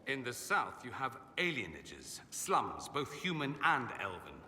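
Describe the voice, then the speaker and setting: Suave, bright-voiced aristocratic mage. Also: male voice, medium pitch, wildly swooping pitch, a little slow, full character voice. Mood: earnest, defensive. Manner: suave, theatrical, sardonic, articulate. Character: urbane, witty, self-assured foreign aristocrat mage, adult man. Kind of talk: video game dialogue acting. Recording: echoey hall with ambient noise.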